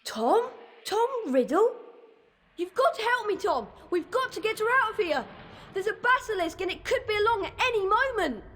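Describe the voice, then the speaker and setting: A woman's voice: extremely high pitch, big pitch swings, moderate pace, a bit bright, slight background noise, heavily acted. A woman with a British accent voicing an earnest, brave twelve-year-old boy wizard in a video game, speaking emphatically. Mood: alarmed, urgent. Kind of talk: video game cutscene acting.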